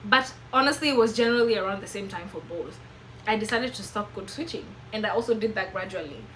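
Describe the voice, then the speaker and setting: A woman's voice: mid-range voice, quick pace, a bit bright, a little hiss or hum, low-quality recording. A woman in her twenties with a Kenyan accent, telling a personal story to camera in an emphatic, preachy way. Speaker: vlogger.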